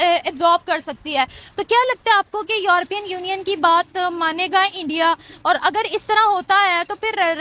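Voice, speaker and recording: A woman's voice: extremely high pitch, pushed and bright. A woman with a Pakistani accent doing political street interviews, asking long, probing, leading questions. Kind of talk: vox pop political interview.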